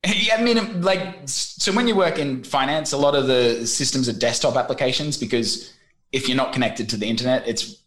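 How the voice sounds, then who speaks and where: Animated Australian man telling stories. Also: medium pitch, normal speed. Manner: animated storyteller, acts out voices in anecdotes. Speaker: guest. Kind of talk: casual podcast interview.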